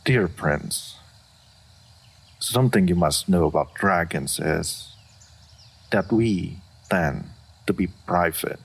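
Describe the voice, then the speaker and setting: A man playing an aloof dragon in human form, speaking formally and with deadpan reserve in a fantasy roleplay audio. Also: low-pitched, slow pace, gravelly, long pauses, husky, exaggerated voice. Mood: cool, reserved. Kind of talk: scripted fantasy roleplay audio addressed to the listener.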